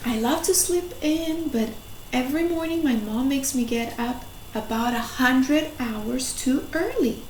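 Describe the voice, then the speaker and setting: In an echoey room, a woman plays a whiny young storybook schoolboy in a very high voice. He is grumpy about everything and stretches out his complaints with heavy emphasis, slowly and deliberately. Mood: grumbling. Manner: exaggerated, drawn-out complaining emphasis. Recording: echoey room, faint noise.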